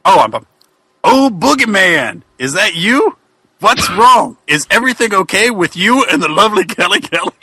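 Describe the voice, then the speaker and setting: A man playing a friendly, grateful former racer in a halting comic reading of fan fiction. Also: high-pitched, very animated, pushed and bright, breathy edge, dry recording, full character voice. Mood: earnest, hearty.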